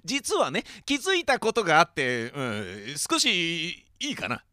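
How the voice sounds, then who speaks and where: Over-earnest, clueless male manager, acted by a man, swooping bright voice. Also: on the high side, huge pitch swings, dry recording, studio quality, faint music behind, full character voice. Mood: serious. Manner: pompous, over-earnest, halting. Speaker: actor in an audio drama. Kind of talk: comedic radio drama acting.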